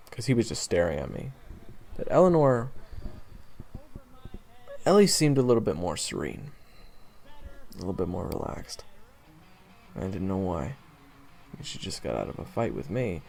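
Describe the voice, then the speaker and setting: A man playing a jaded, sardonic monster hunter in his early twenties, telling his story in a casual, wry, halting way for an audio roleplay. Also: lowish voice, sing-song, creaky, warm tone, exaggerated voice. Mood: reflective. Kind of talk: audio roleplay, conversational first-person storytelling.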